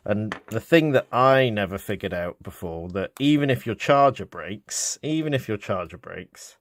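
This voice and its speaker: A man with a low-pitched British voice talks through a practical repair in an informal, unscripted way. He sounds like a hobbyist thinking aloud, with some hesitation. The sound is dry and close, with little room reflection. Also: no echo. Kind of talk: casual unscripted repair demonstration. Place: indoors, small office.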